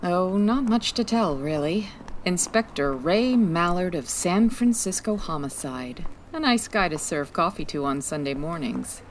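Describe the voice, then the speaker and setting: A woman playing a wry, quick-witted 1940s private detective in a comedy audio drama, with an arch, teasing manner. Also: fairly low pitch, lively intonation, slight background noise, low-quality recording. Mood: coy, teasing. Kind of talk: comedy audio drama acting, old-time radio detective.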